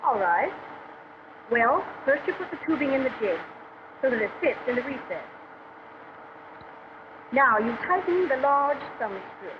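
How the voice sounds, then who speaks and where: A muffled 1940s training film soundtrack with clear noise carries a high-pitched young woman learning factory work. She is eager and attentive, speaking in careful, deliberate steps. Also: sing-song. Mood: careful, reciting. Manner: careful, deliberate step-by-step recitation. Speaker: trainee drill press operator.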